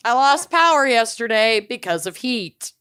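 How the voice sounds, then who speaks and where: A woman's voice: fairly high pitch, expressive, normal speed, sharp tone, clear, resonant tone. A woman co-hosting a casual podcast, drawling and teasing the others in playful banter. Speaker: podcast co-host. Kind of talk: casual chat.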